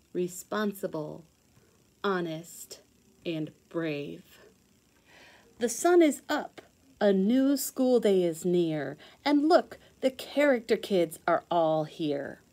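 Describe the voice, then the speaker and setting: A woman teacher reading a children's storybook aloud in an expressive sing-song way with clear emphasis. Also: fairly low pitch, extremely slow, pauses often.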